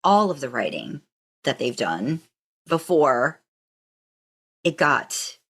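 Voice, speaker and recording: Low-pitched woman chatting on a podcast. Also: very animated, slow pace, fairly bright tone, husky. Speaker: podcast co-host. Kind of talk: casual chat and podcast sign-off.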